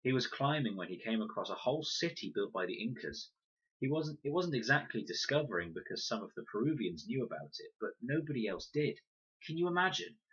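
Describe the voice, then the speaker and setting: Storybook boy explorer, read by a man: earnest, keen explainer, bright husky tone. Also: medium pitch, big pitch swings, brisk, dull audio, pitched above the performer's own voice, full character voice. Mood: eager, absorbed.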